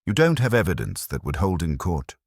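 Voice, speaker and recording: A man's voice: low voice, wildly swooping pitch, brisk, dry recording, clean studio sound, full character voice. A man voicing a cornered, evasive corporate consultant villain in an audiobook, defiant and dismissive.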